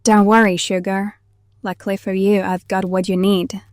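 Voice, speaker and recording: A woman's voice: medium pitch, flat delivery, moderate pace, darkish tone, no room sound, studio quality, close to an everyday voice. An actress playing a sardonic yet soothing woman survivor in an audio drama, cool, teasing and matter-of-fact. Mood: wry.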